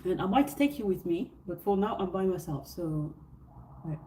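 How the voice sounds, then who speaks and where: A woman's voice: on the low side, lively intonation, normal speed, mellow, slight background noise, poor audio. A woman from France with a French accent, hosting a casual livestream, rambling and hesitant with many fillers and restarts, sounding sleepy. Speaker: livestream host. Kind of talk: casual livestream chat with viewers. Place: hotel suite, indoors.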